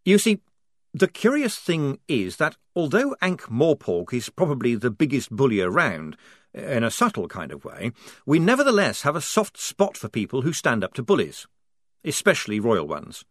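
A man's voice: mid-range voice, huge pitch swings, moderate pace, fairly bright tone, no echo, studio quality. A man voicing a nervous, eager male newspaper editor who talks in a polite, chatty, persuasive way. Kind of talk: audiobook character voice.

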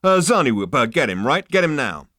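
A man voicing a cocky, swaggering male alien who talks loud and slangy. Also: mid-range voice, expressive, brisk, no room sound, clean studio sound, natural voice.

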